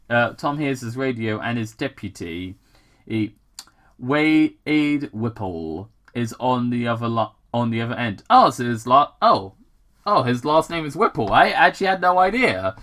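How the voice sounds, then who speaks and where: A man's voice: mid-range voice, a bit bright. A man casually reading fan fiction aloud with commentary, stumbling over words, repeating himself and swearing in asides.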